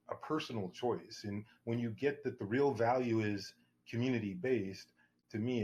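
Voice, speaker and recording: A man's voice: fairly low pitch, a little mellow, slight background noise. A man at a governance workshop, talking at length in a rambling way, with restarts and fillers. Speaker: workshop participant. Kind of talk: casual panel discussion.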